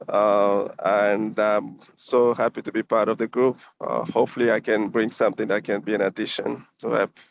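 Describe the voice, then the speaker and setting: A man's voice: fairly low pitch, little pitch movement, normal speed, gravelly, slight background noise. Man, earnest meeting intro, slightly dull audio. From Congo. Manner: earnest, with fillers. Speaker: committee member.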